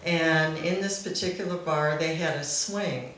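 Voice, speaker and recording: Slow-talking woman in a very echoey room. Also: low voice, fairly bright tone. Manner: amused, often laughing as she talks. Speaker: speaker at a memorial celebration. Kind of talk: informal anecdote told to a gathering. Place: back room at a live gathering. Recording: very echoey room, faint noise.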